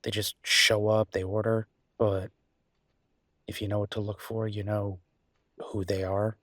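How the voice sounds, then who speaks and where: A man playing an easygoing, teasing chef boyfriend in an intimate audio roleplay, chatting with affectionate, playful exasperation in a husky voice. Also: on the low side, level tone, measured pace, a bit bright, no echo, clean studio sound. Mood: enthusiastic, opinionated. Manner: conversational, playful, exasperated banter.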